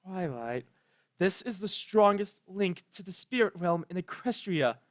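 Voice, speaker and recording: A narrator's quiet, solemn pony sun goddess. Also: mid-range voice, lively intonation, measured pace, voice pushed up, close to an everyday voice. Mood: grave sorrow.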